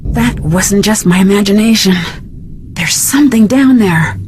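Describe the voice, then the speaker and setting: An actress playing an inquisitive, composed young detective in a video game, probing and matter-of-fact. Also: female voice, medium pitch, animated, a little slow, noisy background, lightly acted. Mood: musing.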